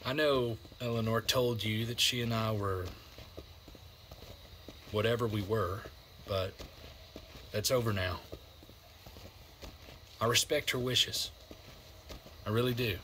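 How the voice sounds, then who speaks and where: An actor playing a weary, loyal survivor in a video game, plainspoken and folksy, with an American accent. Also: male voice, on the low side, fairly even intonation, slow pace, long pauses, fairly bright tone, a little hiss or hum. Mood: rueful, reflective. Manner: folksy, colloquial, reflective. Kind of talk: naturalistic video game drama acting.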